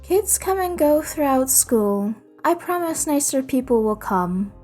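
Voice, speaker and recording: Gentle, slow-talking storybook mother, voiced by a woman. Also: sits high, big pitch swings, soft background music. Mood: reassuring. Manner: soothing, gentle. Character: gentle, patient mother soothing her young son.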